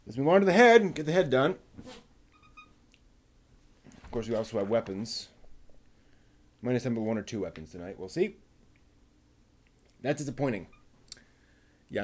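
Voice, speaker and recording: A man building a model kit on a live stream, muttering to himself and chatting casually with viewers as he works. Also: on the low side.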